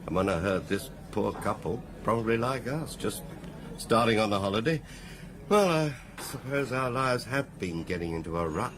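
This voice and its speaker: A man's voice: fairly low pitch, expressive, moderate pace, noisy background, lightly acted. Man playing a british middle-aged dad in a radio play, blustery, lots of up and down, music about. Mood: reflective. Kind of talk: radio drama acting.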